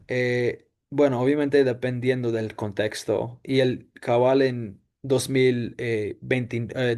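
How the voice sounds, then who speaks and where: Slow, hesitant young man presenting. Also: mid-range voice, darkish tone. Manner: hesitant, many fillers and restarts; mixes English "so" and "ok" into his talk. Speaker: student presenter. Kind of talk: class presentation.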